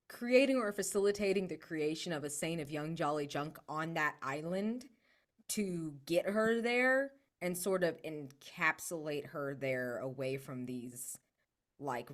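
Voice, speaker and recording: A woman with a notably low voice takes her time as she talks. She is informal and enthusiastic, tossing ideas around as a player in a tabletop game. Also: takes it slowly. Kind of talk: casual collaborative game brainstorming.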